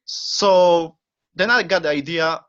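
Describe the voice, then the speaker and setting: A man's voice: fairly high pitch, slow, a bit bright. A young man from the Czech Republic with a Czech accent, speaking English as a second language as an eager podcast guest, full of fillers and short laughs. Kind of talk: casual interview chat. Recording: dull lo-fi sound.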